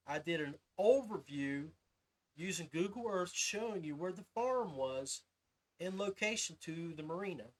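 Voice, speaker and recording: A man with a notably high-pitched voice hosts a casual podcast conversation. He talks in an informal, folksy way, like someone chatting with friends. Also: a bit bright, poor audio. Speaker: podcast host. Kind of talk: casual interview chat.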